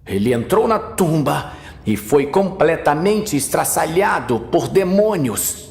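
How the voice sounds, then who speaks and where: A man's voice: on the high side, big pitch swings, slow pace, darkish tone, roomy sound, exaggerated voice. A man voicing a determined, confident archmage who taunts his foe in a fantasy audio drama. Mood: taunting. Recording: music behind the voice.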